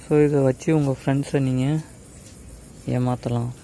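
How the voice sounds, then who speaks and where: Flat, mellow man explaining. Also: medium pitch, unhurried, a little hiss or hum, rough recording. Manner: explanatory, addresses viewers directly, mixes in English words. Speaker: tech tutorial host. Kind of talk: casual step-by-step app tutorial narration.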